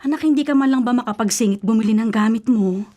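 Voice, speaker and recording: An actress playing a caring, protective middle-aged mother in a Filipino radio drama, gently chiding in a Filipino accent. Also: on the high side, fast, lightly acted. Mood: concerned, chiding. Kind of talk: Tagalog radio drama acting.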